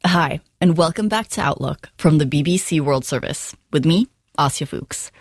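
A woman with a low voice introduces the next item on a radio programme. She speaks in a warm, even presenting tone. The sound is close and dry. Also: normal speed, no echo.

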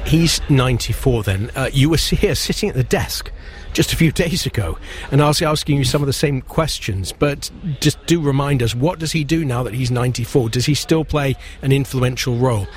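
A man anchoring a news broadcast in a studio, questioning a correspondent in a clear, conversational way. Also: medium pitch, fairly quick, a little hiss or hum.

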